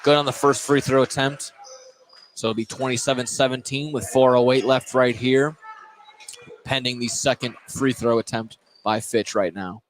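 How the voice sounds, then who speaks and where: Male basketball play-by-play announcer, medium pitch, halting repeated phrases, live radio call, noisy gym ambience. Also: fairly even intonation. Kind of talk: live sports radio commentary.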